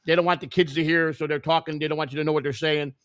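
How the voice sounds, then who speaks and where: Man with a chicago accent, quick, halting, repeats words, dry close sound. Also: on the high side, flat delivery, a bit bright, clean tone, no room sound. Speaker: co-host. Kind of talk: casual livestream chat.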